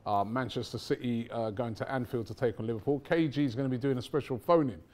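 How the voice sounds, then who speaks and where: A man's voice: medium pitch, fast. Middle-aged male host making announcements. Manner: steady, announcing with fillers. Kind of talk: presenting, show announcements. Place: football-themed studio.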